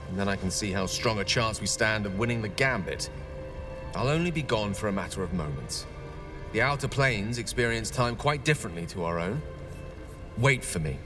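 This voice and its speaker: A man playing a proud, witty wizard in a video game, eloquent, theatrical and wry, nursing old heartbreak. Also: fairly low pitch. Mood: measured, hopeful. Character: proud, witty male wizard, wounded ex-lover of a goddess. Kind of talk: dramatic video game dialogue.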